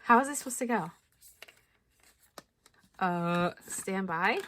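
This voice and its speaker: Chatty, slightly hoarse woman unboxing. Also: mid-range voice, slow, a bit bright, a little hiss or hum. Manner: chatty, thinking aloud with many likes and ums. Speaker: planner vlogger. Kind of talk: casual unboxing chat.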